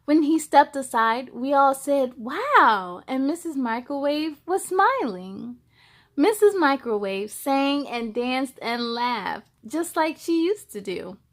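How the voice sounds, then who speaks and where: A woman with a high voice reads a children's story aloud. She takes it slowly and expressively, like a host who puts feeling into each line for young listeners. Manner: slow, expressive delivery with playful asides.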